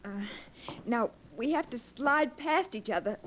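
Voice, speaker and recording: A frightened young woman with a high, lively voice that stumbles in breathless, halting phrases. She speaks slowly, heard through an old radio recording with faint hiss. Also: poor audio. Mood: light, playful. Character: young woman drowning in panic. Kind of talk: 1940s radio acting.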